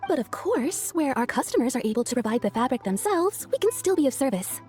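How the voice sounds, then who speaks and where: A high-voiced, courteous shopkeeper. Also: female voice, big pitch swings, fairly quick, a little mellow. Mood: polite, businesslike. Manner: deferential, customer-service politeness. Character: courteous, businesslike female kimono shopkeeper, eager to please. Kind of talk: anime game voice acting. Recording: faint background music.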